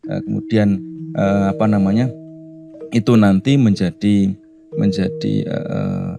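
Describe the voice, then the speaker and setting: A man with a Javanese Indonesian accent and a low, gravelly voice speaks as a radio studio guest. He builds his points slowly, pausing often on fillers. Music plays clearly underneath him. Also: animated. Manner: thoughtful, halting, many filler pauses. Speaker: guest speaker on language and culture. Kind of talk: casual interview chat.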